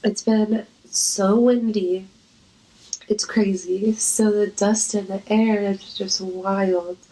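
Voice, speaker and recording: A woman with a congested, creaky voice and a cold, chatting casually and intimately to viewers in a flirty, playful manner. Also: medium pitch, fairly even intonation, slow pace, warm tone, rough recording.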